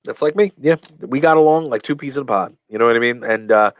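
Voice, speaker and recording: A man being interviewed on a podcast, telling stories in a rambling way, full of fillers and restarts, and acting out quoted lines with lively voices. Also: medium pitch, fast, no room sound. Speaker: guest, professional wrestler. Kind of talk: casual storytelling in a podcast interview.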